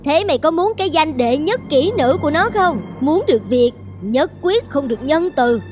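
A woman's voice: sits high, lively intonation, moderate pace, faint music behind. A woman voicing a spiteful, scheming rival courtesan in a fairy-tale cartoon, sly and callous.

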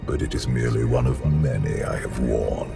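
A man voicing the proud, patient spirit of an ancient emperor in a video game, gravelly, solemn and grandiose. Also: very deep voice, moderate pace, full character voice. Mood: imperious, reflective. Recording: loud music behind the voice.

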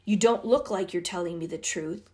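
A woman voicing a patient older brother who gently reproaches his sisters. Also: fairly low pitch, moderate pace, close to an everyday voice. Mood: reproachful.

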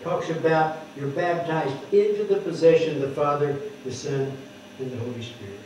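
An older man preaching at a lectern, explaining scripture word by word and stressing key words loudly. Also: on the high side, moderate pace, far from the mic, a little hiss or hum. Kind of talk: sermon teaching scripture. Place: wood-paneled church hall, speaking at a lectern. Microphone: microphone on the lectern, heard from a distant camera. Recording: echoey hall heard from afar, dull.

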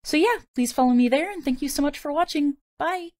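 Higher-voiced woman introducing herself. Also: a little fast, darkish tone. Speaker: voice actor and animator. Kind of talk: friendly scripted self-introduction to viewers.

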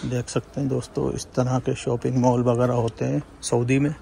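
Guy filming himself in a big store, gravelly voice, faint hum of the shop behind. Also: mid-range voice, little pitch movement, normal speed, dark tone. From India. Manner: conversational, keeps saying "friends" to viewers. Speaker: vlogger. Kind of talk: walking tour vlog. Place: large indoor supermarket.

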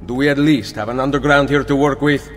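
A man's voice: medium pitch, fairly quick, in a large hall, faint music behind, natural voice. A man playing a wry, confident assassin. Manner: calm, wry, understated. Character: confident Italian male assassin, dry wit. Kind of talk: video game cutscene dialogue.

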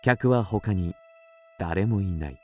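A man narrating a literary radio drama in a measured, scene-setting way. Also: low-pitched, animated, soft background music.

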